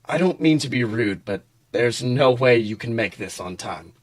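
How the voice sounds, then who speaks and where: A man's voice: medium pitch, lively intonation, normal speed, voice pushed down, lightly acted. A man voicing a composed, bookish schoolboy in a story, speaking in a cool, matter-of-fact way.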